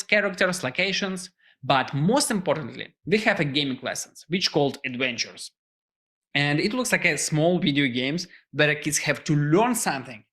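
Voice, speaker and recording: A man with a Russian accent, Russian his first language, giving an earnest startup pitch as founder. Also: fairly bright tone. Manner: earnest, non-native phrasing.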